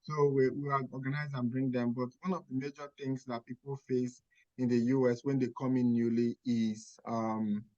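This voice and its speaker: A man's voice: medium pitch, darkish tone, lo-fi sound. Emphatic Nigerian man giving advice. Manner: emphatic, checks in with "Okay?" and rhetorical questions. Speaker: nurse mentor and recruiter. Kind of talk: advice talk on a video call.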